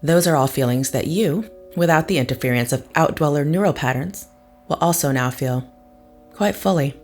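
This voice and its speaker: Casting: actress for an unflinching, imperious woman with a deep female register and exact, formal diction, audio drama. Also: darkish tone, faint music behind. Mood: grave. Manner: deliberate, clipped formal phrasing. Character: cold, formal, unflinching superior-minded woman. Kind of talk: scripted audio drama acting.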